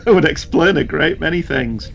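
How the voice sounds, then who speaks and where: A man's voice: a little high, animated, moderate pace, creaky, fairly bright tone, dull audio, a little hiss or hum. A British man co-hosting a podcast, chatting casually with a wry, joking manner. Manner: wry, joking, breaks into sing-song bits. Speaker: podcast co-host. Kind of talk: casual chat.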